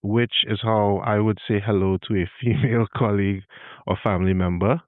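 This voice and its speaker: A man's voice: on the low side, fairly even intonation, moderate pace, dry recording, narrow, tinny audio. A man from Trinidad and Tobago with a Trinidadian accent, hosting his own podcast in a solo talk with wry, self-deprecating asides. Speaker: podcast host.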